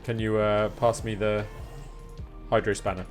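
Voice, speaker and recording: A man's voice: lowish voice, level tone, unhurried, soft background music. A man live streaming a game, chatting casually in a rambling, jokey way. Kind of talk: casual gaming chat.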